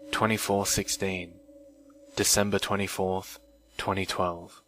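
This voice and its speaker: Guy w a low voice reading creepypasta slow and careful, old mic, bit of music under it. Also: pushed and bright. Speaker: narrator of horror stories. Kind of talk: scripted creepypasta narration. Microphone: old microphone.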